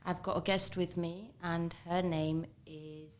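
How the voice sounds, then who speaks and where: A woman hosting a morning radio talk show. She speaks in a measured way, affirms her guest, and repeats the guest's points back. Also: fairly low pitch, flat delivery. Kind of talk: radio interview.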